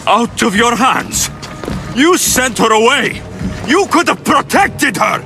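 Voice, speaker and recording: An actor playing a grieving, angry young ancient Greek mercenary in a video game cutscene, blunt and commanding. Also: male voice, extremely high pitch, animated, slow pace, full character voice. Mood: accusing, angry. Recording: music behind the voice.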